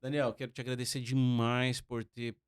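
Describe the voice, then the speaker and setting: A man's voice: medium pitch, level tone, moderate pace, clear, resonant tone, no room sound, clean studio sound. Chatty Brazilian man hosting a podcast. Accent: Brazilian Portuguese. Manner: friendly, thankful, chatty. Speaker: podcast co-host. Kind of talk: casual podcast chat.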